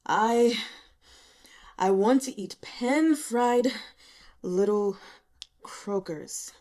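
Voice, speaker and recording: A woman's voice: fairly high pitch, extremely slow, a little mellow. Woman voicing a weak, thready, complaining talking cat. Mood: drowsy nagging.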